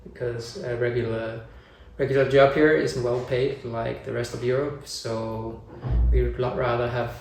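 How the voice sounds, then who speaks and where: Brief: man in his forties from Denmark, plain chatty family vlogger, gravel in the voice, distant roomy sound. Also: medium pitch, fairly even intonation, normal speed, few pauses, a little mellow. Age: 40s. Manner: plain, thinks aloud with restarts. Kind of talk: casual chat.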